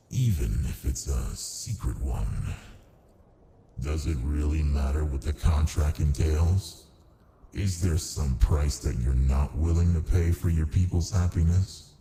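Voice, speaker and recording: A man playing a massive ancient male demon in an ASMR roleplay, smug and amused, with a smooth, politely menacing, domineering way of talking. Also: extremely low pitch, mellow, a little hiss or hum, exaggerated voice. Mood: coolly menacing. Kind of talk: ASMR roleplay, close and unhurried.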